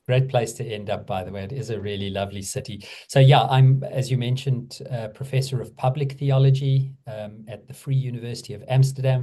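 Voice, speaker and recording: Thoughtful South African man, mellow voice. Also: mid-range voice. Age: middle-aged. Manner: measured, thoughtful with frequent fillers. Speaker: guest, theology professor. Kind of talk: conversational interview.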